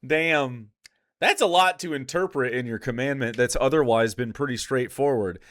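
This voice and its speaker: A man's voice: mid-range voice, normal speed, fairly bright tone. Blunt, argumentative man doing casual commentary. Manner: blunt, swearing, sarcastic. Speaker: streamer reacting to a video.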